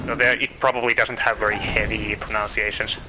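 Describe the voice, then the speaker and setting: A man with a Finnish accent giving casual, chatty commentary while streaming a game, thinking out loud and asking his viewers questions. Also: on the low side, level tone, a little fast, music in the background, low-quality recording. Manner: chatty, thinks aloud, asks viewers questions, self-correcting. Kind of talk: casual live gameplay commentary.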